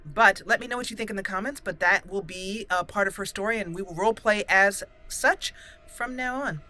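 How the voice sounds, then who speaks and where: Bright-voiced woman hosting a let's play. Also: mid-range voice, a little fast, faint music behind. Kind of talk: casual roleplay commentary.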